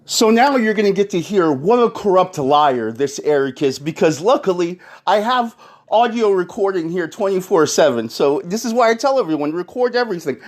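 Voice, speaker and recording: A man speaking insistently and confrontationally, as a caller and commentator arguing his case. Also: high voice. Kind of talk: argumentative phone call and commentary.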